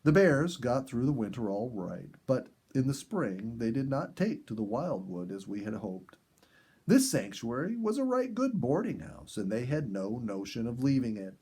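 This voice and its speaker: A man narrates in the manner of an expressive audiobook storyteller. His voice sits at a medium pitch with a husky, slightly rough edge. He leans on key words and pauses for dramatic effect. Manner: animated, dramatic pauses and emphasis. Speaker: audiobook reader and story host.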